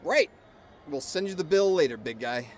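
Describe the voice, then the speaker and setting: A man's voice: fairly high pitch, wildly swooping pitch, normal speed, gravelly, a little hiss or hum, heavily acted. A man playing a grumpy, sarcastic loner of a monster hunter in an audio drama. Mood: grudging.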